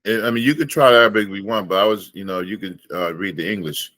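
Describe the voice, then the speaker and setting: A man speaking as a religious class teacher, lecturing informally with halting, often repeated words. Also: fairly low pitch, fairly bright tone, dry recording.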